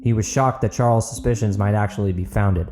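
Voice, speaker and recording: Man, audiobook narrator, scripted dramatic storytelling, fairly low pitch, music behind. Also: fairly quick.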